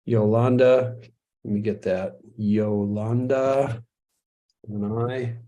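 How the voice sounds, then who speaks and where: A man's voice: fairly low pitch, fairly even intonation, slow pace, dark tone, muffled recording. A middle-aged American man hosting a live webinar in a casual, chatty way, joking at his own expense and chuckling often. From United States. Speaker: webinar host.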